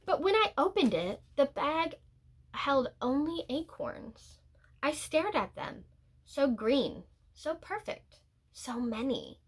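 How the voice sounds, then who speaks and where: A woman with a fairly high voice reads a children's story aloud. She takes it very slowly, drawing out words and leaving pauses, and her pitch moves expressively as she goes. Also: very animated. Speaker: read-aloud teacher. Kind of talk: picture-book read-aloud, scripted narration with brief asides.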